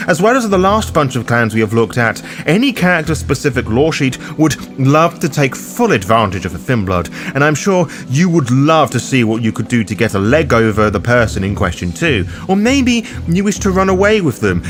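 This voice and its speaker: Male guide host, scripted explainer read, animated pitch movement, cheeky and wry, music underneath. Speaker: host of a tabletop roleplaying guide.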